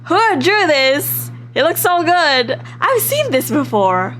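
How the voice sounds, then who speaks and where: A woman hosts a casual game livestream in a high-pitched, very animated voice. She is excitable and expressive, yet takes her time as she chats and reads text aloud. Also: takes it slowly. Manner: excitable, giggly, expressive reactions. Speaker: livestream host. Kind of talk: casual live game stream with read-aloud and reactions.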